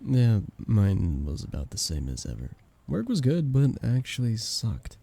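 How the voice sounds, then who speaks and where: A man acting as an affectionate young male best friend in an intimate ASMR roleplay. His voice is low and very dark and soft, with a gravelly edge. He chats casually and teases in an expressive, playful way. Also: unhurried, exaggerated voice. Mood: tired, venting. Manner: teasing, expressive, giggly.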